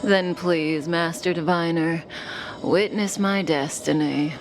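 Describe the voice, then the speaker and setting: A woman's voice: fairly low pitch, fairly even intonation, takes it slowly, music in the background. An actress voicing a cool, coy, enigmatic woman outlaw in a video game, languid and amused.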